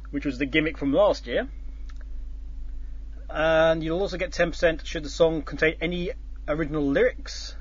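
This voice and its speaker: A man hosting a casual chat, explaining a contest's scoring in a rambling way with many fillers and restarts. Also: fairly high pitch. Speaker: host. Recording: dull lo-fi sound.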